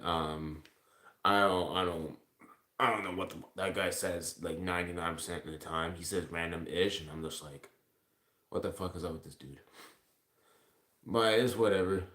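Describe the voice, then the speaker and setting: Echoey, low-voiced man rambling. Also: moderate pace, a bit bright. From Ontario, Canada. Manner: rambling, heavily profane. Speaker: live streamer. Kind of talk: casual livestream chat, replying to comments. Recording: echoey room sound.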